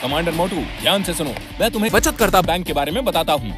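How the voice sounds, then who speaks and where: A man voicing a smooth-talking, pompous cartoon schemer who flatters and gives grand orders. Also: fairly high pitch, fast, music in the background, close to an everyday voice. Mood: commanding. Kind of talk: Hindi cartoon dubbing.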